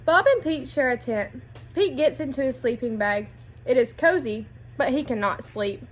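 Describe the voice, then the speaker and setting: A woman's voice: sits high, animated, normal speed. A woman reading a children's picture book aloud as a storyteller, addressing young listeners with guiding questions.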